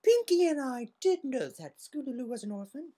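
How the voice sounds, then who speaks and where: An elegant lady unicorn from a storybook, acted out by a woman reader. Her pitch is lifted high and moves freely. She is polite and composed, with a caring, ladylike way of phrasing things. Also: very animated, dark tone. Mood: gentle, composed. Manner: refined, polite, measured phrasing.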